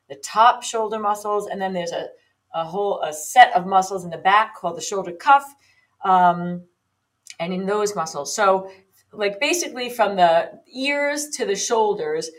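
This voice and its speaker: A woman's voice: mid-range voice, a bit bright. Chatty woman in her fifties hosting. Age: 50s. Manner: chatty, explanatory, with frequent ums and restarts. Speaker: podcast host and personal trainer. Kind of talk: casual solo podcast talk.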